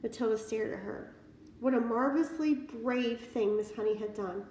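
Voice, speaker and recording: A woman giving an expressive narration of a children's book as a read-aloud. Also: on the high side, normal speed. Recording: roomy, distant mic, faint noise.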